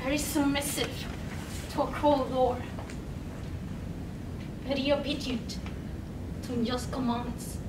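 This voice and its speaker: A young woman performing a dramatic poetry reading, slow and pleading with sudden loud swells, in a large room. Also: high-pitched, steady tone, distant mic. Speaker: contest reader. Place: large college event room. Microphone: far camera, standing away from mic. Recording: echoey room recorded from afar, noisy.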